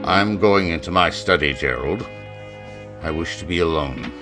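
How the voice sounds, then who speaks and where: A man's voice: low voice, normal speed, music in the background, close to an everyday voice. A man playing a stern older major in a western radio drama, speaking in a formal, clipped, authoritative way. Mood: cold, grave. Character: stern, cold, commanding older major leading a lynch mob.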